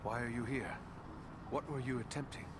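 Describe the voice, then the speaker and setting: A man's voice: medium pitch, steady tone, normal speed, background noise, lightly acted. A man acting a grave, earnest heroic ranger in a fantasy video game, questioning and commanding his companions. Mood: grave, urgent. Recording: loud music over dialogue.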